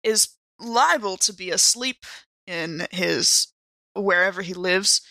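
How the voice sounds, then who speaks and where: A woman improvises dialogue in a tabletop game podcast. Her voice is bright and forward, her pace slow, with a wry, hesitant way of putting things. The recording is dry. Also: mid-range voice, no room sound. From San Francisco Bay Area, said in character. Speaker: player in a role-playing podcast. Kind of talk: improvised in-character chat at a game.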